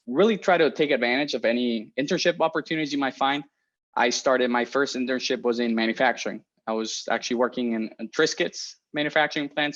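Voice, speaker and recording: A man's voice: mid-range voice, dull audio. A young man in his twenties who grew up in Spain, an engineer chatting casually to students about careers, friendly and rambling with many restarts. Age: 20s. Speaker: research engineer giving a career talk.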